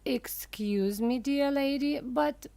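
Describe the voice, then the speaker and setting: A woman reading aloud and voicing an eccentric, excitable male chocolate maker who gushes proudly over his creations. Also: high voice, slow, gravelly, no echo, pitched above the performer's own voice, heavily acted. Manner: gushing, showy and proud.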